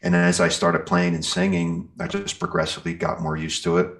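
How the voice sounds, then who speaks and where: A man's voice: low voice, huge pitch swings, a little fast, gravelly, fairly bright tone. A middle-aged man chatting casually as an interviewed musician, rambling and enthusiastic in an informal way. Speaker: metal singer and guitarist being interviewed.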